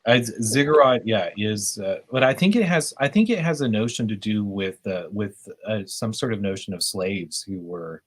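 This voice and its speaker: A man's voice: fairly low pitch, expressive, moderate pace, hardly any pauses. A man talking at length in a casual podcast discussion, rambling with many restarts.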